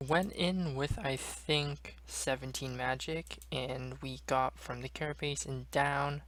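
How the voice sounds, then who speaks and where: A man's voice: medium pitch, steady tone, takes it slowly, a bit bright, slight background noise, low-quality recording. A man giving casual commentary over his own gameplay, chatting directly to his viewers as he plays. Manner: chatty, addresses viewers as guys. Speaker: gaming video creator. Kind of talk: casual let's-play commentary.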